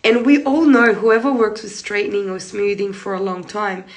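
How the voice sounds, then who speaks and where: Hoarse, gravelly woman teaching live. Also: medium pitch, fairly even intonation, normal speed. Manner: chatty, repeats points, gestures while talking. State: hoarse from a cold. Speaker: hair treatment educator. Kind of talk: live-streamed tutorial talk. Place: small room indoors, seated at a desk. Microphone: phone camera close in front.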